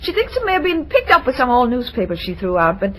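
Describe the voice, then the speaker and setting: A high-voiced, flustered young bride-to-be. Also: female voice, wildly swooping pitch, fast talker. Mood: flustered, polite. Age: young adult. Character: sweet, flustered young engaged woman torn in love. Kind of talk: old-time radio romantic drama acting. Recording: noisy vintage radio drama recording.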